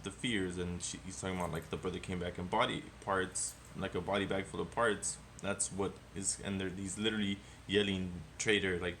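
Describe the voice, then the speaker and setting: A man co-hosting a casual movie review podcast, talking in an animated, rambling way and swearing often. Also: low voice, brisk, few pauses, a little hiss or hum. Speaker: podcast co-host.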